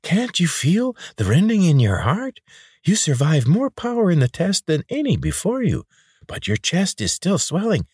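A man voices a pudgy, oily healer from a storybook, his nasal voice squeaking high above the narrator's. He wheedles and coaxes, and his voice comes across a little breathy. The sound is dry and close. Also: huge pitch swings, moderate pace, a little mellow, no room sound, exaggerated voice. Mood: wheedling.

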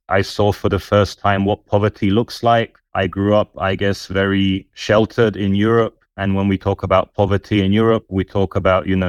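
A man in his thirties, raised in the UK, answering interview questions in a measured, reflective way. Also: on the low side, no echo, studio quality. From born in former Yugoslavia, raised in the UK. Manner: measured, reflective, with some fillers. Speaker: podcast guest, nonprofit founder.

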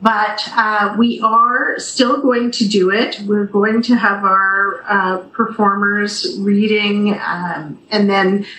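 A Canadian woman speaks as a theatre director being interviewed, in an upbeat, conversational way. Her mid-pitched voice comes over a video call with a noticeably roomy sound and faint background noise. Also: steady tone, slow, few pauses, sharp tone. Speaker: theatre company executive director, radio guest.

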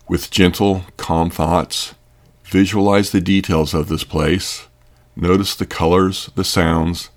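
A man narrating a scripted sleep meditation in a calm, soothing, gentle manner. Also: low voice.

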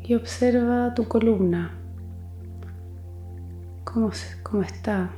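A woman leading a guided meditation, speaking gently and soothingly in a soft voice with long pauses. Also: on the high side, reverberant. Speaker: psychologist guiding meditation. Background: music.

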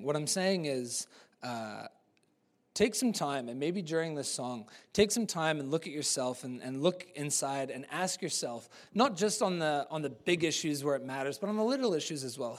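A man from New Zealand with an American accent, preaching a live sermon in a church in a conversational, animated way with casual fillers. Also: fairly high pitch, moderate pace. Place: church service.

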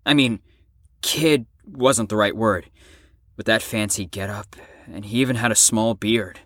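A young man acting a troubled, self-loathing teenage ex-addict in an emotional audio drama monologue, halting and profane. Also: lowish voice, fairly bright tone, no room sound, studio quality. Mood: reflective, halting. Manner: emotional, halting, profane, swings between bitter and impassioned. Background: music under the voice.

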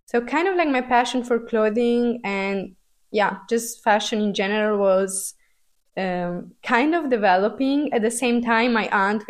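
A young woman, a Romanian speaker, talks in a mid-range voice. She hosts a personal podcast in a casual, conversational way, as if thinking aloud. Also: medium pitch. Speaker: podcast host.

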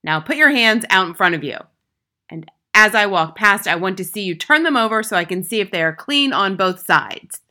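A woman's voice: medium pitch, animated, normal speed, pushed and bright. A woman reading a storybook, voicing a gigantic tyrannical headmistress who barks contemptuous insults. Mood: barking command. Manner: barking, bellowing insults.

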